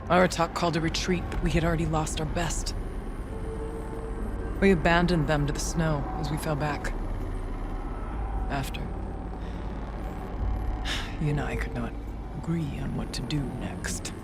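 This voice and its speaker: Game cutscene shaman, played by a man: grave, sorrowful, formal and measured, with clear ambient noise around the voice. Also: on the high side, lightly acted. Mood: grave, resigned. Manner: solemn, measured, formal storytelling. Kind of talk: video game voice acting.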